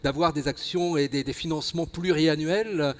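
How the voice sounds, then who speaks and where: Higher-pitched Frenchman moderating in a reverberant hall. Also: steady tone, normal speed. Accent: French from France. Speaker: panel moderator. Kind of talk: conference moderation, summing up and prompting. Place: convention plenary hall.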